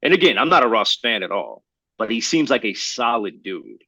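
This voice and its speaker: A man co-hosting a podcast in casual, slangy, opinionated chat, talking in a halting, rambling way and circling back to qualify his points. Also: medium pitch, very animated, sharp tone, no echo, rough recording. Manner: halting, rambling, hedging delivery; slangy, addresses listener as bro. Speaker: podcast co-host.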